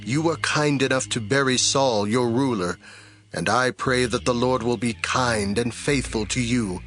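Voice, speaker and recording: An actor playing a gracious, solemn king in a Bible audio drama, speaking in a stately, deliberate manner. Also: medium pitch, a bit bright, soft background music, lightly acted. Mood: solemn blessing. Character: newly crowned king, measured, gracious and solemn.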